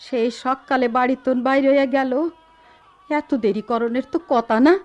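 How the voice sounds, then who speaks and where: Woman acting high-voiced anxious village mother. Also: steady tone, moderate pace, highs cut off, faint music behind, close to an everyday voice. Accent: Bangladeshi rural dialect. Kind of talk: Bengali radio drama acting.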